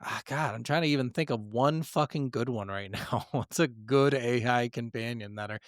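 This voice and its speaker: A man's voice: mid-range voice, moderate pace, no room sound, studio quality. A man co-hosting a casual gaming podcast, talking bluntly with plenty of swearing and complaint. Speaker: podcast co-host.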